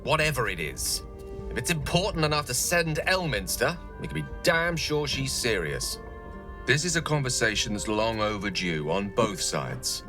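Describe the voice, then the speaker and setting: A man's voice: medium pitch, animated, normal speed, music in the background, close to an everyday voice. A man playing a proud, witty wizard in a video game, eloquent, theatrical and wry, nursing old heartbreak. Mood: earnest resolve. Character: proud, witty male wizard, wounded ex-lover of a goddess. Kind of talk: dramatic video game dialogue.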